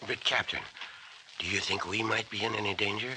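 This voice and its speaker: An actor as a low-voiced, worried doctor. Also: male voice, steady tone, fairly quick, close to an everyday voice. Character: worried, cautious male doctor. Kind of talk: old-time radio drama acting. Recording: noisy vintage radio recording.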